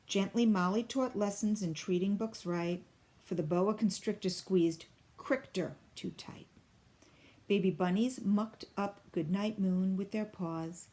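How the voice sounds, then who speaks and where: A woman reading a children's picture book aloud in an expressive, deliberate storybook style. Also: medium pitch. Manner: deliberate, clearly phrased storybook delivery.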